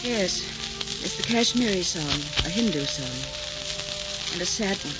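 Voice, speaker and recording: A woman's voice: medium pitch, animated, measured pace, over loud music, natural voice. An actress playing a proud, defiant young lady held captive, haughty and cutting, in a 1940s radio romance style. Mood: subdued. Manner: indignant, cutting, theatrical. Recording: dull 1940s radio recording, clear noise.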